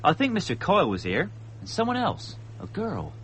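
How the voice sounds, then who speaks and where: An actor playing an obliging, chatty ship's radio operator in a radio drama. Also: male voice, high-pitched, huge pitch swings, normal speed, fairly bright tone, slight background noise, full character voice. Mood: helpful.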